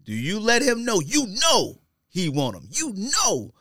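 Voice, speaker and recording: A man's voice: high voice, huge pitch swings, measured pace, bright, forceful tone, no room sound, clean studio sound. A man hosting a casual podcast debate, talking in slang and repeating himself emphatically for effect. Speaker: podcast host. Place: podcast studio.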